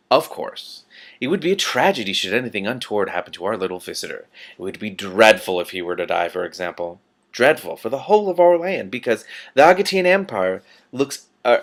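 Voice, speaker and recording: A man voicing a smooth, menacing ruler who speaks in formal, faux-polite veiled threats in an audiobook-style reading. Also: fairly low pitch, animated, a little fast. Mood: silkily threatening.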